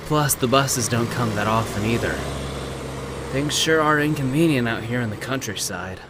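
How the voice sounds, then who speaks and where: An actor playing a nosy, teasing middle-aged smoker in an anime fan dub, with a wry, laid-back, probing manner. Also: male voice, mid-range voice, moderate pace, background noise, natural voice. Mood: prying.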